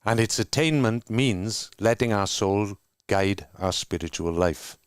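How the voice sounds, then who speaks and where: A man from Wales with a Welsh accent, co-hosting an online discussion and reading prepared remarks in a measured, earnest way over a video call. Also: fairly low pitch, smooth, no echo, clean studio sound. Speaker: co-host. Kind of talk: scripted welcome and reading.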